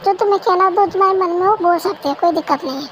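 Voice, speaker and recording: A woman's voice: extremely high pitch, rapid-fire, a bit bright, a little hiss or hum. A woman voicing a cheeky young brother-in-law who teases and plays mock-offended, in an amateur fan dub. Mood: friendly.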